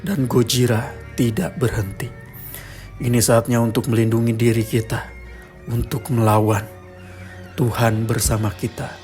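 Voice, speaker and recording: Man playing a deep, solemn cult preacher. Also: little pitch movement, a little mellow, soft background music, natural voice. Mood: solemn, urging. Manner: slow, grave, proclaiming. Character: solemn cult preacher in a televised recruitment broadcast. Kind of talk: horror broadcast sermon.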